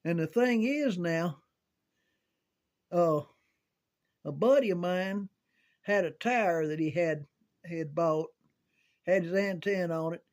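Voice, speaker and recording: An older man telling casual, rambling recollections in a halting, folksy way, as if thinking aloud. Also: sits high, a little mellow. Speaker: amateur storyteller reminiscing about CB radio.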